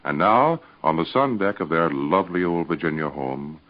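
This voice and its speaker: An American man reading scripted narration and advertising as an old-time radio serial announcer, with emphatic, deliberate enunciation. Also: a bass voice. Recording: dull, band-limited vintage radio recording.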